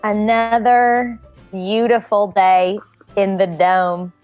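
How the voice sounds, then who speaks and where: A woman's voice: mid-range voice, level tone, slow pace, a little mellow, dull audio, faint music behind, natural voice. A woman playing a contented wife and mother in a comedic table read. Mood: blissfully content.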